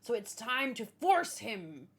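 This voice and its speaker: A woman voicing ruthless giant captains who boast about seizing power, in a louder, slower, villainous delivery while reading a manga aloud. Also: a little high, big pitch swings, breathy edge, full character voice. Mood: gloating.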